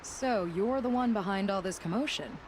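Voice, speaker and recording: An actress playing a stern, swaggering warden in a video game, boastful and commanding. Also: female voice, medium pitch, normal speed, noisy background, close to an everyday voice. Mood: proud, wry. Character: stern, swaggering female prison warden warrior. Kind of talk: video game drama acting. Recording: clear outdoor game ambience behind voice.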